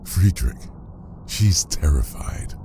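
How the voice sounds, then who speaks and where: A man plays a protective priest in an audio drama with an extremely deep, creaky voice. He is blunt and steadfast, speaking in terse, firm phrases over clear background noise. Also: animated, slow, exaggerated voice. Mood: firm, resolved. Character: steadfast, protective male priest, blunt and quietly warm-hearted.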